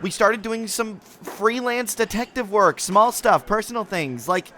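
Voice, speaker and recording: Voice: mid-range voice, unhurried, a bit bright, lightly acted. An actor playing a young, defensive and sarcastic rookie space ensign in a sci-fi audio drama, with a casual way of talking.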